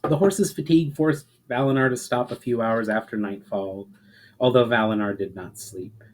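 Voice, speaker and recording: A man reading a fantasy story aloud as a narrator, in a measured, expressive storyteller's manner. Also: medium pitch, a little hiss or hum. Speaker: author reading fiction aloud.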